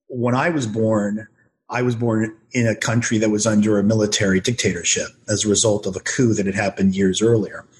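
A man from Brazil speaks in a somewhat low voice. He talks sincerely, often chiming in with brief agreements. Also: low-quality recording. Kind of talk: conversational interview talk.

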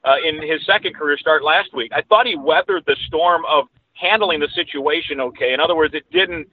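Over a thin, phone-like connection, a man with a slightly high voice talks sports as an interview guest. He is a radio announcer who chats discursively, rewording his points as he goes. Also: fairly quick. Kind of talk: conversational sports analysis. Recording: thin, narrowband phone-like line.

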